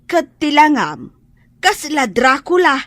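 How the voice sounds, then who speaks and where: A woman playing a cheeky housemaid in an Ilocano radio drama, calmly teasing with mock helpfulness and an Ilocano accent. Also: high-pitched, slow, dry recording, full character voice. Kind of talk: Filipino radio drama acting.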